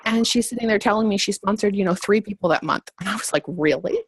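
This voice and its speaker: A woman's voice: mid-range voice, fast, husky, no echo. A woman of thirty, chatty and giggly, telling her story with many fillers. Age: 30s. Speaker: panelist sharing her business story. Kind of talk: casual personal testimony.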